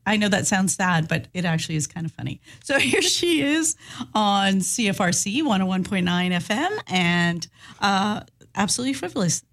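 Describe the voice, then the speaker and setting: A woman's voice: a little high, fairly bright tone. A woman community radio host chatting loosely into the microphone. She rambles, backtracks and laughs between phrases. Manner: rambling, self-correcting, laughs often. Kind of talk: casual radio show hosting.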